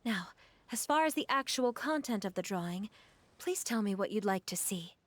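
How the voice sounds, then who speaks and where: A woman playing a gentle, polite young mother, earnest and measured, in a video game cutscene. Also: mid-range voice, animated, dry recording, close to an everyday voice. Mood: thoughtful, attentive.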